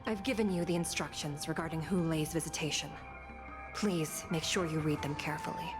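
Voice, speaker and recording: Game role: stern female judge played by an actress, lowish voice, formal, measured, faint music behind. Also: steady tone, close to an everyday voice. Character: solemn female prison judge, calm and authoritative. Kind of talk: scripted video game dialogue.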